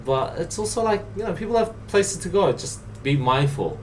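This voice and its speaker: A man's voice: high voice, lively intonation, normal speed. A man from South Korea talking casually and bluntly on a podcast, emphatic and repeating his points. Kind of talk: casual opinionated podcast talk. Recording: slightly distant mic, faint noise.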